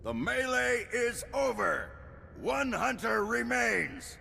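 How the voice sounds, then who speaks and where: An actor playing a commanding master of a bounty hunters' tournament in a video game, theatrical and authoritative. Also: male voice, very high voice, expressive, slow pace, vocal fry, bright, forceful tone, slight background noise, heavily acted. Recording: long arena-like reverb.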